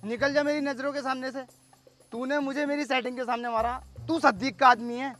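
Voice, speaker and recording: A man's voice: extremely high pitch, fairly even intonation, fairly quick, pushed and bright, soft background music. A man playing an aggrieved, bossy and scheming village election candidate in a comedy sketch. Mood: angry, aggrieved.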